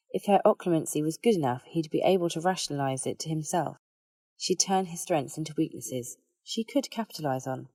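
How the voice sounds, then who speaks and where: Woman, low-pitched, audiobook narrator, scripted storytelling, dry recording. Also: dark tone, no echo.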